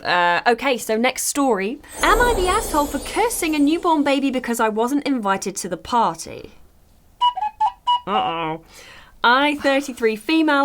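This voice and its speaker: A woman playing a fairytale podcast host, reading advice posts aloud in an expressive, conversational way. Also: a little high. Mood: dramatic reading.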